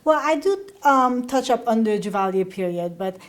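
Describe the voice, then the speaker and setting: A woman's voice: a little high, lively intonation, normal speed. A woman in her thirties from Haiti, telling personal stories conversationally as an interview guest.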